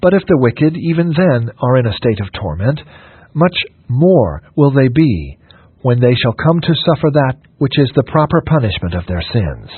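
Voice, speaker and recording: A man reading a scripted sermon in a measured, solemn and formal manner. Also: mid-range voice, sing-song, husky, no echo. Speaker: reader of a historical sermon. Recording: narrow phone-like radio sound, dry.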